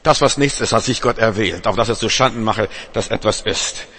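A man's voice: fairly low pitch, brisk, fairly bright tone, breathy edge. A male preacher giving a sermon to a congregation, speaking emphatically with repetitions, direct address and frequent restarts. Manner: stumbles and restarts. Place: church congregation.

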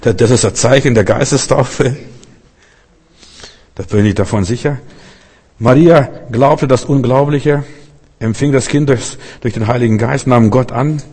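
A man in the middle of a Christmas sermon, speaking with fervor and turning again and again to the congregation with questions. His phrases come back two or three times for emphasis. His voice sits at an ordinary male pitch and trails a long reverb through the church hall. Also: unhurried, pauses often, darkish tone, husky. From Berlin. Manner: fervent, repeats himself, asks the listeners directly. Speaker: preacher.

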